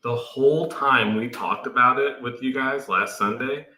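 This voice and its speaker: A man's voice: medium pitch, unhurried, sharp tone. A man in his late thirties co-hosting a casual homestead livestream, laid-back with dry, teasing humor. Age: 30s. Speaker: livestream co-host. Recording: roomy echo.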